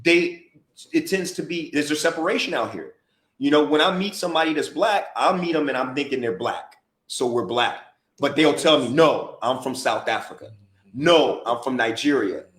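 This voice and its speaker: An emphatic American man talking through a casual podcast, pressing his points and circling back to them. His voice sits at a middle pitch. The recording has a noticeably roomy echo. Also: lively intonation, normal speed, a bit bright. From United States. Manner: emphatic, conversational, repeats for emphasis. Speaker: podcast co-host and DJ. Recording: roomy echoey podcast audio.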